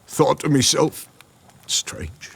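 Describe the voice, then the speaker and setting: A man with a British accent voicing a haunted, mournful peasant villager in a video game, hoarse and rustic in his telling. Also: fairly high pitch, fairly bright tone, heavily acted. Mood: haunted dread. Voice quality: hoarse, raspy, creaky. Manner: slow, haunted, rustic storytelling.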